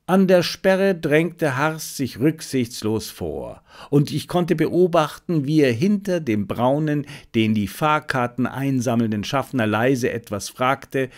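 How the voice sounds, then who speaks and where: A man narrating a detective story as a scripted audiobook, with measured, deliberate phrasing. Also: a little high.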